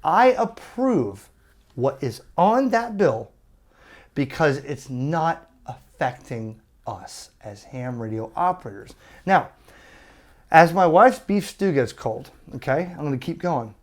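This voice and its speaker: Guy doing a blunt youtube rant, exasperated and emphatic, pitch jumps around a lot. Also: very animated, slow. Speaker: ham radio YouTuber. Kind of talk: opinionated rant to viewers.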